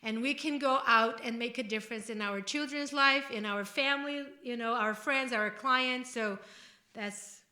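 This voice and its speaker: High-pitched Brazilian woman, bright voice. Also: moderate pace. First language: Portuguese. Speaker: podcast host. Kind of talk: casual podcast conversation. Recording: roomy, echoey room sound.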